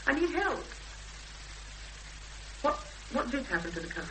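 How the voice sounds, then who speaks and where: A woman playing an earnest young woman in a 1970s British radio drama, expressive and hesitant when pressed, on an old recording. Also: high-pitched, unhurried, slight background noise, exaggerated voice, low-quality recording. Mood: anxious, pleading. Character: Emma, earnest young woman searching for missing sister.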